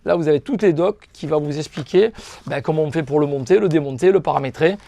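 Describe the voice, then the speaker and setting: A man's voice: on the high side, fast, gravelly, mellow, no room sound. A man giving an informal, step-by-step product tutorial as a shop presenter, explaining things directly to viewers.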